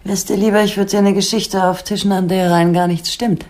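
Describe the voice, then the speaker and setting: An actress playing a teasing, evasive partner who wants money, in casual, clipped radio drama dialogue. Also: female voice, medium pitch, level tone, a little fast, darkish tone, lightly acted. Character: evasive, teasing wife or lover wanting money.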